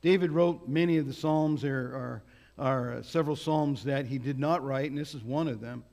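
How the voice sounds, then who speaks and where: A man's voice: medium pitch, creaky, a little mellow. A man preaching as a guest in a church, talking in a big voice with many fillers and restarts. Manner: loose, halting delivery with many fillers and restarts. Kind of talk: sermon. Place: church hall. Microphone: microphone on a sound system. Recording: church hall with long reverb.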